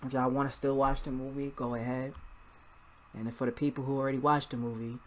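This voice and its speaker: A man's voice: medium pitch, flat delivery, fast. A man giving a casual, rambling movie review in an informal, mildly profane vlog style. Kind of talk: casual spoiler-filled film review vlog.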